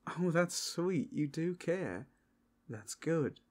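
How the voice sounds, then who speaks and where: Man playing a suave, teasing vampire, lively pitch swings. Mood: teasing, flirtatious. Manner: playful old-fashioned gentlemanly phrasing, drawn-out delivery. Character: suave flirtatious ageless male vampire, teasing and genteel. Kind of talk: audio roleplay acting.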